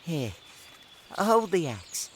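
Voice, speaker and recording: A patient, good-hearted village boy in a period audio drama. The voice is lively and varied yet gentle and encouraging, with faint noise behind it. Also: medium pitch, very slow. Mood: patient, helpful. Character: kind, patient, diligent village boy named Denton. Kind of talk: historical audio drama acting.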